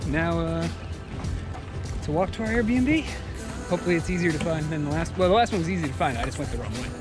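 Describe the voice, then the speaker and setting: A man in his thirties films a casual travel vlog outdoors. His voice sits fairly high for a man, and loud music plays along with it. He talks to a camera held at arm's length. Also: lively intonation, quick pace. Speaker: travel vlogger. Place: outdoors on streets and docks. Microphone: handheld camera at arm's length.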